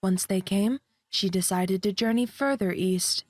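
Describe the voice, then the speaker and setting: A woman's voice: mid-range voice, a little slow, dry recording, lightly acted. Woman playing a serene religious sister, close and dry. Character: serene, devout religious sister giving counsel. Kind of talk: video game character dialogue.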